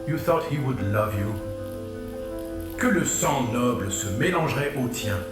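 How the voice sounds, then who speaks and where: An actor playing a bitter, self-righteous husband who condemns his wife with religious scorn, in an audio drama. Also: mid-range voice. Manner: venomous, preaching, full of religious scorn. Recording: very echoey with music underneath.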